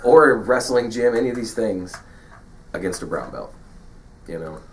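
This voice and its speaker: A man co-hosting a podcast in casual chat, laid-back and quick to agree, sometimes acting out what a fighter might say. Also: lowish voice, sing-song. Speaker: podcast co-host. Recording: voice a bit far from the mic, faint hiss.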